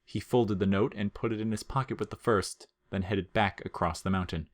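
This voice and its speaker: A man with a low-pitched voice narrates a piece of fiction. He reads from a script in the manner of an audiobook storyteller. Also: fairly even intonation, moderate pace.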